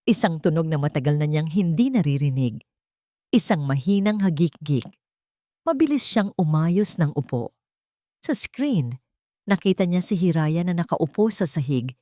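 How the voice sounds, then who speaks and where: Woman reading a dramatic love story, voice on the low side, very dry recording. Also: mellow, no echo. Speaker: story narrator.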